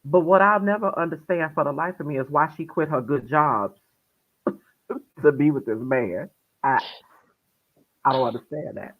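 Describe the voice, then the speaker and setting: A man's voice: fairly high pitch, normal speed, long pauses. A man co-hosting a casual gossip chat who chuckles often and agrees readily. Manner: chuckling, agreeable, lively intonation. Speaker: co-host. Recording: tinny phone-line sound.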